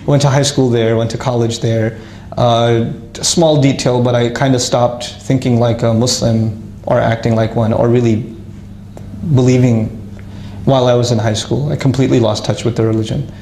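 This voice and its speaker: A man casually telling his life story to an audience, lively and self-mocking, with frequent restarts. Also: mid-range voice, moderate pace, roomy sound, slight background noise.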